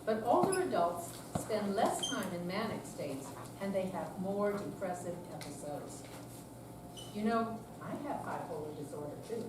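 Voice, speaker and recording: A woman's voice: mid-range voice, lively intonation, moderate pace, natural voice. An amateur reader as a patient older woman, very echoey. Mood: candid, earnest. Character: patient older retired woman, candid, devoted daughter. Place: senior center, recorded from afar. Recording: far-off echoey room, clear background noise.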